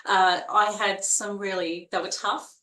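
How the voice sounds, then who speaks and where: A woman's voice: mid-range voice, flat delivery, a little slow, pushed and bright, reverberant. A woman in her forties with a strong Australian accent, a nurse chatting as an interview guest, talking freely with many fillers and restarts. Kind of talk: casual interview conversation.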